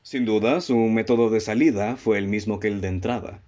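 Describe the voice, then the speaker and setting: An actor playing a calm, methodical male detective in a radio drama, measured and lecturing as he lays out his deductions and poses pointed questions. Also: fairly low pitch, muffled recording, close to an everyday voice. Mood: explaining.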